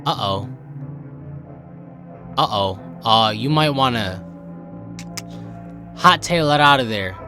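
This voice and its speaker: A man's voice: mid-range voice, expressive, takes it slowly, pauses often, over music. A young American man giving casual, joking reaction commentary in exclamatory slang. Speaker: host of a reaction channel.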